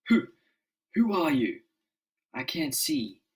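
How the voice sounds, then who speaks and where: A man's voice: a little high, big pitch swings, takes it slowly, voice pushed up, full character voice. A man voicing an earnest, commanding superhero leader in a declamatory comic-book drama style. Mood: bewildered.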